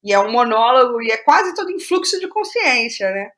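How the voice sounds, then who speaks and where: A woman with a high voice and a Brazilian accent speaks as a guest in a conversational interview. She is reflective and informal, circling back on her own phrases as she explains how she works. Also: sing-song, fairly quick, a bit bright. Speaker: playwright guest. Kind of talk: conversational interview about creative process.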